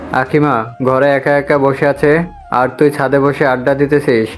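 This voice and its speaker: A stern, scolding dad, played by a man, from an audio romance. His voice is mid-pitched, with light music underneath. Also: little pitch movement, unhurried, close to an everyday voice. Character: scolding middle-aged father of the groom. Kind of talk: audio love story acting.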